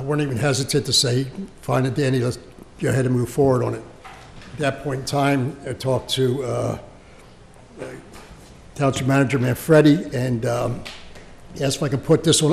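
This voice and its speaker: An American man presenting a project at a public meeting as a local official, speaking off the cuff in a halting way and repeating words. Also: medium pitch, creaky, darkish tone, a little hiss or hum. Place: indoor township meeting room. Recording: reverberant meeting hall.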